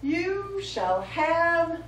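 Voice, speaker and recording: A woman's voice: extremely high pitch, sing-song, very slow, heavily acted. A middle-aged woman on stage playing a theatrical, easily offended artist with a grand, florid delivery. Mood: indignant. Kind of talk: live stage play acting. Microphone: far camera. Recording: roomy stage, distant camera mic, noisy.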